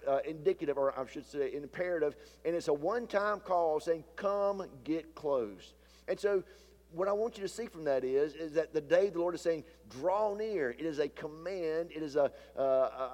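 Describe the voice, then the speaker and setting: A male pastor preaching a sermon with a stuffy, sinus-deepened voice. He often swells louder and slows down to stress a point. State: stuffy from a sinus issue, voice deeper than usual. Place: church sanctuary. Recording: long hall reverb from a church sanctuary.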